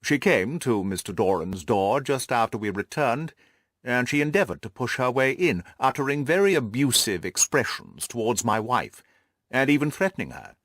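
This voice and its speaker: A male reader acting a stuffy, haughty lord from a classic audiobook. He speaks formally and at length, full of self-importance, with lively swings of pitch in a close, dry recording. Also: normal speed, no room sound. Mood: self-justifying. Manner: pompous, formal, long-winded. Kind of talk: audiobook character dialogue.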